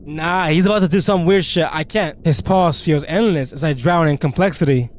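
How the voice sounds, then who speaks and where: A man with a slightly high voice gives let's play commentary on a story game. He reads on-screen text aloud and breaks into casual, slangy commentary. His delivery is expressive, with an incredulous edge. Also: normal speed, a little hiss or hum. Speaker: gaming video creator.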